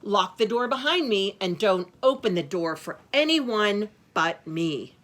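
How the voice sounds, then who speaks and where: A gentle storybook mother goat, played by a woman, with a sweet, high voice. The phrases are singsong and animated. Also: unhurried. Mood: motherly.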